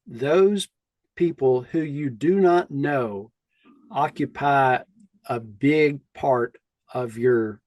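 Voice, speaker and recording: A man co-hosting a conversational podcast, explaining his thoughts in a measured, reflective way. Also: mid-range voice, darkish tone, no echo, dull audio. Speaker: co-host.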